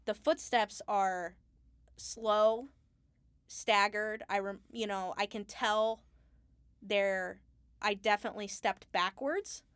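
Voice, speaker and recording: A woman's voice: medium pitch, steady tone, fairly bright tone, smooth, no echo. Woman talking casually, dry close sound. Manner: halting, thinks aloud. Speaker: Foley artist being interviewed. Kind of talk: casual craft interview.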